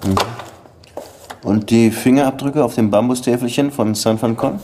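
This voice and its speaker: A man's voice: mid-range voice, moderate pace, background noise, natural voice. An actor playing a police detective in a radio drama, offhand and brooding as he hands out orders. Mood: businesslike.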